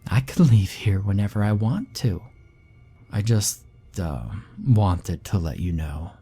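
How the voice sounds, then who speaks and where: A man reading a horror story and voicing an eerie little girl who is sweet, childish and quietly menacing. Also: lowish voice, lively intonation, takes it slowly, husky, soft background music, full character voice. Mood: gleeful. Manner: sing-song, childish and sweetly threatening.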